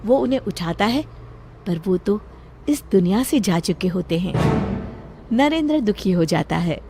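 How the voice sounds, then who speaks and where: A woman reading scripted narration for an animated moral story. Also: mid-range voice, measured pace, faint music behind.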